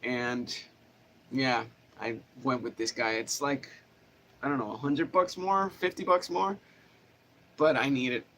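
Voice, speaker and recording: A man's voice: mid-range voice, unhurried, pauses often, pushed and bright. A man with a nasal voice chatting casually with viewers from a hot home studio, rambling with lots of fillers and restarts. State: sweaty in a hot room. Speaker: guitar teacher answering viewer questions. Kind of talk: casual livestream chat. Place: small home studio room, no air conditioning, fan running. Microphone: camera microphone. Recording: faint background noise from a fan.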